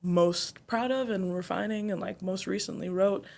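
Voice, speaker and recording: A young woman from Zambia talks casually as an interview guest, with a mid-pitched voice. She muses out loud, fills pauses with "um" and "like", and laughs easily. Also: creaky. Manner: thoughtful, many fillers and "like", laughs often. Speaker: singer and guest.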